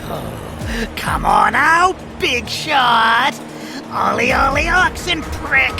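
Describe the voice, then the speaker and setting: Huge nasty alien showbiz boss, croaky, wild swoops all over, blustery drawl. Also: male voice, extremely high pitch, huge pitch swings, extremely slow, music in the background, full character voice. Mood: menacing mockery. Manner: blustering, drawling, sneering showman delivery. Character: bloated, bombastic alien showbiz tyrant, bullying and gleefully crude. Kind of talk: audio drama acting.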